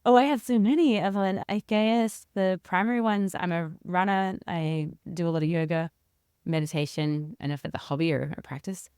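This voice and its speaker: Interview guest, woman, 40s, Australian accent, smooth resonant tone, conversational, dry close sound. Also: fairly low pitch, normal speed, darkish tone, no echo. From rural South Australia. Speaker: interview guest, leadership consultant and researcher.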